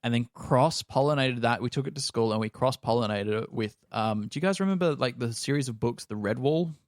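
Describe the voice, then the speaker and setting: A man's voice: medium pitch, brisk, no room sound, studio quality. A man in his thirties with an Australian accent, talking casually as a podcast co-host. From rural Australia.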